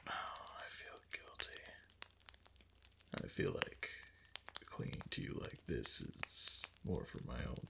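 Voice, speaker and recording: A man playing a doting, obsessive fox-spirit husband in an intimate ASMR roleplay, breathy and half-whispered, tender and hesitant, close to the microphone. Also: a bass voice, background noise, full character voice. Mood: gentle, coaxing, then guilty, hesitant.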